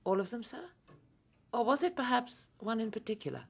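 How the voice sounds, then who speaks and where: An actress playing a guarded Victorian widow who speaks formally and curtly in a radio play. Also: female voice, on the high side, very animated, fairly quick, husky, heavily acted. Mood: cold, guarded. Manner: formal, curt, distant. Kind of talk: radio drama acting.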